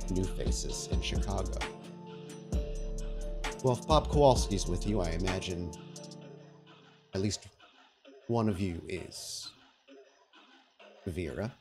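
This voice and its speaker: A man with a lowish voice acts as game master. He narrates in measured, theatrical phrases, with music playing behind him. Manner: dramatic, deliberate, measured phrasing. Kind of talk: theatrical narration of a tabletop horror roleplay.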